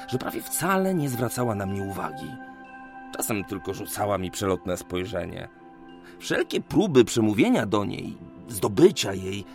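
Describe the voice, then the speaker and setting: A man's voice: medium pitch, gravelly. A man playing a lovesick, distracted young geometer in a radio play, speaking in a hesitant, earnest, wistful way. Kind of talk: Polish radio play acting.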